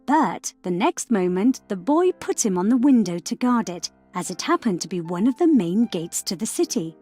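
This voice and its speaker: A woman storyteller narrating a scripted fairy tale for children in a measured, expressive way. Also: medium pitch, faint music behind.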